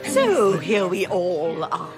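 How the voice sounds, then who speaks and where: A woman's voice: lowish voice, big pitch swings, slow, over loud music, full character voice. An actress playing an imperious, sardonic older lady of the house with an English accent in a radio drama. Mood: imperious, wry. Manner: commanding, sardonic. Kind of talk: British period radio drama acting.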